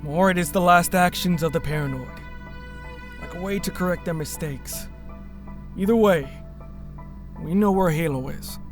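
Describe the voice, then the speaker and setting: Casting: male actor as remorseful ex-cult rebel, earnest and measured, higher male register, sci-fi radio drama, music underneath. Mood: resolved, grave. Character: guilt-ridden male former cult member turned rebel, earnest. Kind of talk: sci-fi audio drama acting.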